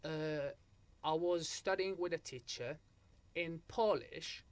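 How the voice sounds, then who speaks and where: A man's voice: fairly high pitch, slow, smooth. A young man from Algeria, a guest in a casual interview, telling his story with frequent restarts and a repeated "so what happened?".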